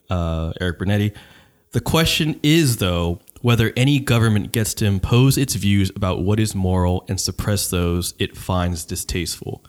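A man hosting a casual podcast, talking loosely and profanely with lots of restarts. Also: lowish voice. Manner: loose, profane, animated talk with many restarts. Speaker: podcast host.

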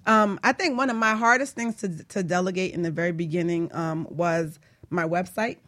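A woman's voice: fairly low pitch, steady tone, normal speed, fairly bright tone, dry recording. Female radio host, business coach type. Informal, playful, chatty, recorded dry in studio. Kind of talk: casual radio talk show chat.

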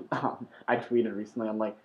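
A man co-hosting a casual podcast chat, joking and sarcastic with lively interjections. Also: lowish voice, normal speed. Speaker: podcast co-host. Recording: dull lo-fi sound.

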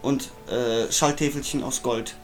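A man co-hosting a casual comedic podcast, rambling and jokey with sudden loud exclamations. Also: medium pitch, steady tone, a little slow, soft background music, rough recording. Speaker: podcast co-host.

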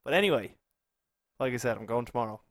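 A man's voice: medium pitch, lively intonation, quick pace, a bit bright. An Irish man co-hosting a casual podcast, chatting with short interjections and light teasing. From Ireland. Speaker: podcast co-host. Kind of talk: casual chat.

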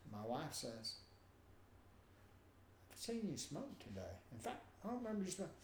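An older man with a noticeably nasal voice tells stories as a guest, lively and acting out the people he quotes. His voice rings in a roomy space with a faint hum of ambience behind it. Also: a little high, moderate pace. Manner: animated, acts out quoted voices. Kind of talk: storytelling in a conversation.

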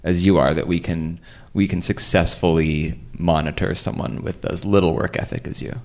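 Man playing low-voiced, halting study researcher. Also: fairly even intonation, a little mellow. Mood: awkward, backpedaling. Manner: halting, bureaucratic, stammering. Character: awkward, politely condescending male study researcher. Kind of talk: improvised radio theater.

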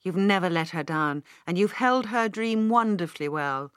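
A woman with a British accent voicing a courteous older male business magnate in an audiobook, measured, gracious and formal. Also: on the low side, expressive, a bit bright, clean tone, dry recording, clean studio sound. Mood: warmly admiring.

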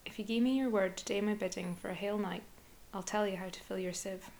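Woman voicing a croaking frog suitor. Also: mid-range voice, steady tone, fast, darkish tone, close to an everyday voice. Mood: coaxing. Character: croaking yellow frog suitor, male, insistent.

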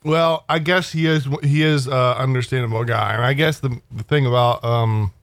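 A man's voice: mid-range voice, steady tone, moderate pace, dry recording. Male co-host, hesitant and rambling, dry sound. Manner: hesitant, trailing off, lots of fillers. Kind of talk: casual discussion.

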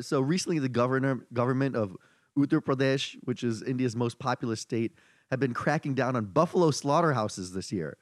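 A man co-hosting a comedy podcast, reading out news stories in a jokey, animated way. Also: medium pitch, dry recording, studio quality. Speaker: podcast host. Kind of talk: casual comedy chat.

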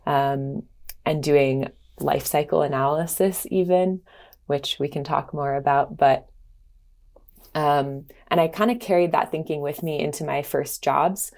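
Low-voiced thoughtful woman. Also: steady tone, darkish tone. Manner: thoughtful, frequent "um" pauses. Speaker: sustainability consultant, studio co-lead. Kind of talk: casual interview answers.

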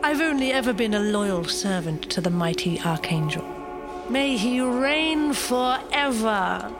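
An actress playing a loyal, encouraging female angel with an earnest, measured manner in an audio drama. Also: a little high, expressive, over music. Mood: teasing.

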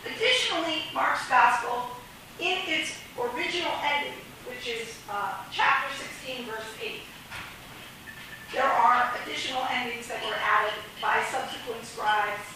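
A high, bright-toned woman lectures slowly and deliberately, her voice slightly gravelly from a recent illness. She is heard from a distance in a very echoey room with faint background noise, stopping often to gather her thoughts. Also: lively intonation. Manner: measured, many pauses and fillers. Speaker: New Testament teacher. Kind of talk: academic lecture.

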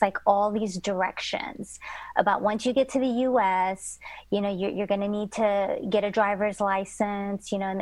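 A woman's voice: medium pitch, a little mellow, no room sound. A middle-aged woman, born in Indonesia and raised in Southern California, talks through her family history as a podcast guest. Her storytelling wanders, full of fillers and restarts. The recording is dry and close. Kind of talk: casual interview conversation.

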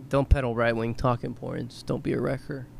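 A man co-hosting a podcast, chatting casually and rambling, his voice going hoarse. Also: mid-range voice, flat delivery, moderate pace, a little mellow, a little hiss or hum. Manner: rambling, laughing, trailing off. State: losing his voice. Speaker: podcast co-host. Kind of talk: casual chat.

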